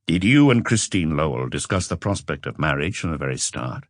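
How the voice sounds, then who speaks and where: A man as a very deep-voiced, probing inspector. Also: sing-song, fairly quick, no echo, clean studio sound. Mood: probing, calm. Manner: terse, probing questioning. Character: patient, probing male French police inspector questioning a suspect. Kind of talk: audiobook character dialogue.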